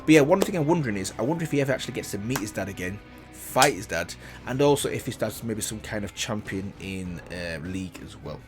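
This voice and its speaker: A man's voice: lowish voice, expressive, quick pace, hardly any pauses, over music. Enthusiastic man reacting to a game. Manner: enthusiastic, keeps saying "obviously". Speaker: video reactor. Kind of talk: casual reaction commentary.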